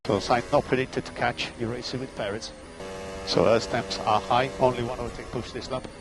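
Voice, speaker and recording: A man's voice: mid-range voice, little pitch movement, normal speed. Guy on f1 style team radio, race engineer reading out numbers, clipped and terse, lots of vehicle noise behind. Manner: clipped, terse data readouts. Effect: team radio transmission. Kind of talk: motor racing team radio instructions.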